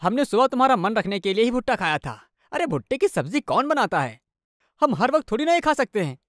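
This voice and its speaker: A man's voice: extremely high pitch, very animated, quick pace, a bit bright, no echo, clean studio sound, exaggerated voice. A man playing a sarcastic, complaining young husband in a cartoon family story. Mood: annoyed. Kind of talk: animated moral story dialogue.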